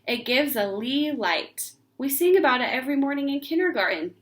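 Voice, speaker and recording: Woman acting out a defiant, high-pitched little girl. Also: lively intonation, moderate pace. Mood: proud, scornful. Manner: defiant yelling and scornful retorts. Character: furious kindergarten girl, stubborn, proud, easily wounded.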